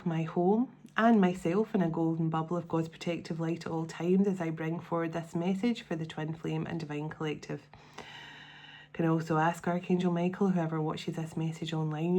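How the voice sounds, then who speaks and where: A middle-aged woman with a sniffly, congested voice, giving a casual spiritual channeling reading, with soft invocations and chatty, rambling asides. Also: fairly low pitch. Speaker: spiritual channeler and tarot reader.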